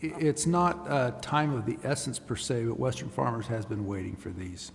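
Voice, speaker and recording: A man's voice: mid-range voice, moderate pace, vocal fry. A man speaking as a staff adviser at a public meeting. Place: council chamber. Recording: echoey hall, faint noise.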